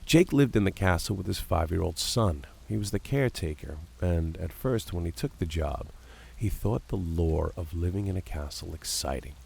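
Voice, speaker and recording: A man's voice: low-pitched, normal speed, vocal fry, breathy edge. A man reading a scripted horror story as narrator, with dramatic storytelling delivery. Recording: steady background noise of rain ambience.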